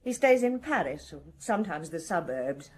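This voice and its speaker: An actress playing an elegant, nervous, middle-aged wife in a radio drama, terse and brittle with a haughty, bitter edge. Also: female voice, lowish voice, big pitch swings, moderate pace, slightly rough. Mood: bitter, anxious.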